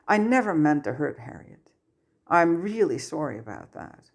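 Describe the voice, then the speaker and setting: A woman's voice: low-pitched, sing-song, moderate pace. Woman doing a teenage wizard boy, clever and clueless with people, earnest and a bit dramatic, storybook reading. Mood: troubled, apologetic.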